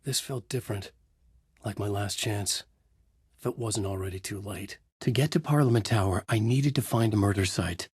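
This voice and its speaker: Haunted horror writer for a game, low husky voice, grim and measured, dry booth. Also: male voice, a little mellow, dry recording. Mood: brooding. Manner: brooding, measured storytelling. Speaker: video game character narrating. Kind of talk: game voice lines, dark narration. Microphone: studio mic.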